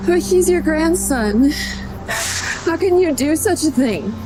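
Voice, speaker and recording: An actress playing a gentle, vulnerable young working mother in an audio drama, speaking softly and earnestly. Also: high voice, lively intonation, slow, over music, poor audio. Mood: pleading, frightened.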